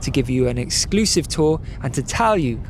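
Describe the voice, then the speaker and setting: A man giving calm, scripted voice-over narration, explaining each step clearly. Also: mid-range voice, moderate pace, slight background noise. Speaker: vlogger narrating.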